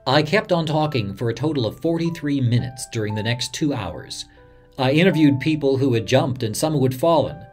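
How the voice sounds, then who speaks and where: A man's voice: medium pitch, darkish tone, lightly acted. An actor playing an earnest, somber male radio reporter recalling a disaster in a scripted documentary reenactment. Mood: somber, reflective.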